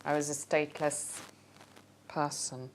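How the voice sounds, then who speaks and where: A middle-aged woman with a Hungarian accent tells her own story casually and haltingly, thinking aloud as a documentary interviewee. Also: low voice, level tone, a little hiss or hum, rough recording. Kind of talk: casual personal storytelling to camera.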